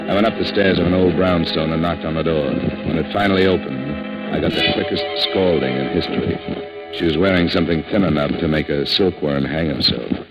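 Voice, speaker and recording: An actor playing a tough, wry, wisecracking insurance investigator and narrator in a 1950s radio detective drama. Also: male voice, rumbling low, brisk. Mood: wry narration. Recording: muffled old radio recording, loud music behind.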